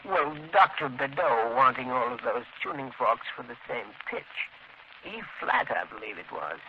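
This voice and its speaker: An actor in a 1930s radio drama playing an obliging music shop clerk, talkative, hesitant and deferential. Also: male voice, mid-range voice, sing-song, normal speed. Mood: chatty, confiding. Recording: muffled 1930s radio recording, faint noise.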